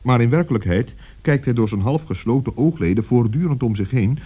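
A man's voice: mid-range voice, lively intonation, a little fast, warm tone, breathy edge, dull audio. A man narrating a children's story in a storybook style. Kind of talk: storybook narration in the present tense.

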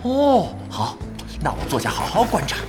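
Brash, clueless young guy, very animated. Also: a little high, moderate pace, over music. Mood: eager. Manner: earnest, blustering, clueless. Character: brash, naive, cheerful young male gamer sidekick. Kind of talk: audio drama acting.

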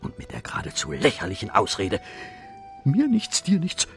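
Wily, gravelly detective, wildly swooping pitch. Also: male voice, wildly swooping pitch, moderate pace, faint music behind. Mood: pressing. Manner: insinuating, hesitant, then insistent. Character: wily, pushy male police detective, coaxing and scheming. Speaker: actor in a radio play. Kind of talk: German radio drama acting.

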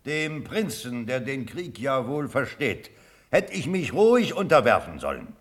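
A loyal old cavalry colonel declaims in a classical radio drama. He is deferential yet stubborn, earnest and formal, his mid-pitched voice rising and falling widely. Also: big pitch swings, unhurried. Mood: defiant, impassioned. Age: older. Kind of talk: classical German stage verse radio drama.

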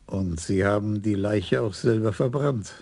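An actor playing a calm, older police commissioner in a radio crime drama, speaking in a measured, gravelly way. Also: male voice, fairly low pitch.

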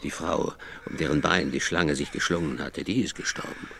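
An actor in a radio play as a rough, blustering man telling of a clubbed eel and making excuses. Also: extremely low pitch, fairly even intonation, normal speed, a bit bright, slight background noise, full character voice. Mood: sheepish.

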